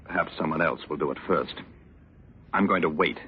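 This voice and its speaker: A menacing, sardonic politician of old radio drama with a deep voice. He is theatrical and mockingly courteous, drawling his endearments. The recording is muffled, with clear background noise. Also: fairly quick. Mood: menacing glee. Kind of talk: 1940s radio drama acting.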